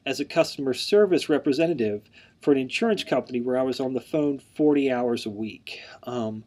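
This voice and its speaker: A man's voice: medium pitch, fairly quick, darkish tone. Guy in his 30s intro-ing his online class, mild stutter, repeats words, lots of ums, regular mid voice. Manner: mild stutter with word repetitions; frequent "um" fillers, earnest and plain. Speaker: instructor. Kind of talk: online course introduction lecture.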